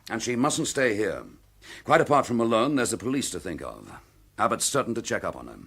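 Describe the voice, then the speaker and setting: A British detective inspector in a radio drama, low-voiced and slightly husky, with lively swings of pitch. He takes charge briskly, sounding determined and protective as he lays out matters. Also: exaggerated voice. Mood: measured, resolute. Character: decisive suspended police detective inspector, protective and urgent.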